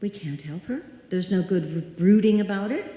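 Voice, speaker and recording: A woman acting a bossy, unflappable lady in a radio suspense play. Her voice is mellow but firm and dismissive, ringing heavily through a live hall. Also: medium pitch, expressive, moderate pace, close to an everyday voice. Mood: firm, insistent. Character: brisk, stubborn woman refusing to be frightened. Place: live stage performance in a hall.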